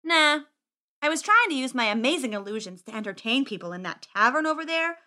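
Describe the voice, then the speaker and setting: A woman's voice: sits high, big pitch swings, brisk, pushed and bright, exaggerated voice. A woman voicing a cheeky, boastful teenage boy wizard in a storybook, grandiose and chatty. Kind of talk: audiobook character acting.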